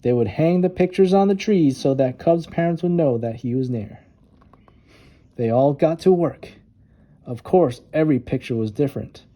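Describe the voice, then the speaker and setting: A man narrating a children's storybook with expressive, dramatic emphasis on key words. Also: a little high, moderate pace. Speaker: storytime reader.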